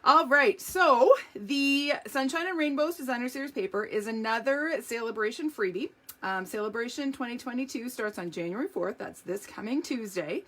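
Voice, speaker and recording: A hoarse woman hosting a live craft demonstration, chatty and upbeat, greeting viewers by name as she works. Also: fairly high pitch, moderate pace, a bit bright. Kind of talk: live craft demo chat.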